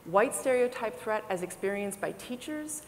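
A woman's voice: medium pitch, expressive, normal speed, big-room sound, slight background noise. A woman panelist explaining research findings in a precise, explanatory way. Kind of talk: conference panel discussion. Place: conference stage. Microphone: lapel mic.

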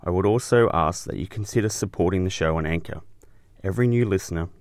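A man narrates a scripted explainer as the host of a show. His voice sits low, and the recording is dry with hardly any room sound. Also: quick pace, darkish tone, no room sound.

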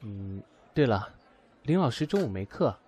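A man's voice: medium pitch, big pitch swings, normal speed, a little mellow, a little hiss or hum, natural voice. A man playing a guarded, cold young man in an amateur audio drama, speaking in reserved, hesitant, clipped replies.

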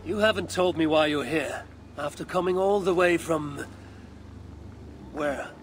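A woman's voice: low-pitched, a little slow. An actress playing a blunt, suspicious and proud Viking warrior woman in a video game. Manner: blunt, curt, challenging. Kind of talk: video game cutscene acting. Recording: game audio with faint music. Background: game soundtrack music.

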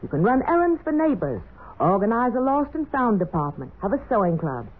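A woman's voice: mid-range voice, very animated, brisk, breathy edge. A woman hosting a 1940s children's radio show, addressing listeners in a scripted style. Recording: tinny, phone-like 1940s radio recording.

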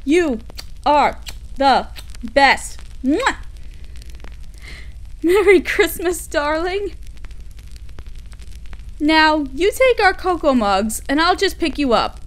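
A woman's voice: sits high, very animated, slow pace, long pauses, exaggerated voice, poor audio. A woman playing an affectionate, dorky, easily flustered workaholic mechanic girlfriend in an intimate roleplay audio, giggly and gushing. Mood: delighted, doting.

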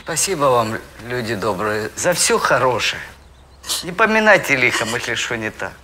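An actor voicing a wheedling, martyred man in an animated comedy, plaintive and mock-humble. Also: medium pitch, moderate pace, slight background noise. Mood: martyred, pleading. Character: wheedling, martyred, hard-up male relative. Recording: music under the voice.

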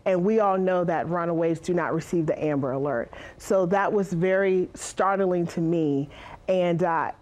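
A woman in her thirties from South Carolina, a former police officer and missing persons advocate, speaking earnestly and deliberately to camera on a studio stage. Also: on the low side, a little mellow. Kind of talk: direct-to-camera personal talk.

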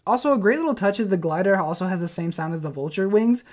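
A man's voice: high-pitched, animated, fast, darkish tone. A man narrating an informal fan video essay as its host. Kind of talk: informal fan commentary, partly scripted.

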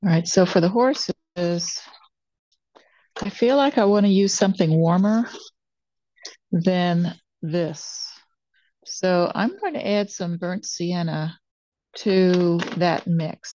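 Low, slow, mellow woman, older. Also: dry recording. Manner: unhurried, pausing between phrases while painting. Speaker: watercolor painting teacher. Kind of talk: real-time demonstration narration, thinking aloud. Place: indoors at a painting table. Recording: dull, muffled lo-fi sound.

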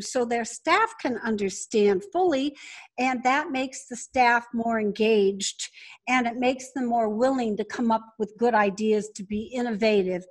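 A woman speaking conversationally as a guest in an interview, explaining her business. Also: on the high side. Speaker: podcast guest, business founder.

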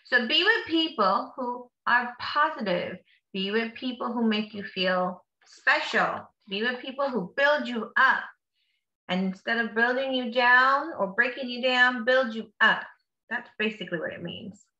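A woman's voice: a little high, measured pace, pushed and bright, muffled recording. A woman reading a picture book aloud to children like a teacher, with encouraging, simply worded asides in between. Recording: echoey room.

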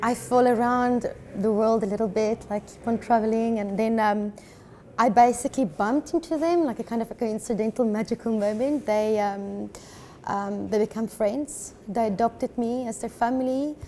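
Mellow-voiced woman from South Africa. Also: on the high side, steady tone, moderate pace. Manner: dreamy, laughs often, gushing. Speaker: musician guest. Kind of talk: relaxed interview chat. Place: studio lounge, indoors.

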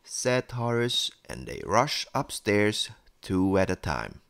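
A man narrates a children's bedtime story in a deep voice. He reads slowly and deliberately, in a dry recording with little room sound. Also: sing-song, creaky, a bit bright, clean tone, no room sound.

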